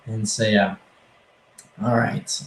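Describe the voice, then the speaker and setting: A man answering viewer questions on a casual livestream, rambling and relaxed with many fillers, his voice a little hoarse. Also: on the low side, slow pace, reverberant. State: slightly hoarse, losing his voice.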